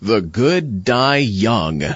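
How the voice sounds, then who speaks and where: Guy hosting a scripted show, really animated delivery, dry slightly dull sound. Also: on the low side, extremely slow, slightly rough, dry recording. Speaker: show host.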